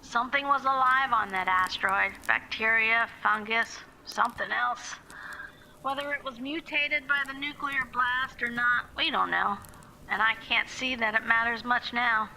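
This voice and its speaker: Woman doing a young survivor girl narrating the end of the world, grim, super sharp voice, bit of noise behind. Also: fairly high pitch, moderate pace, poor audio. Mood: grim, matter-of-fact. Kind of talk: scripted voiceover narration of a film script.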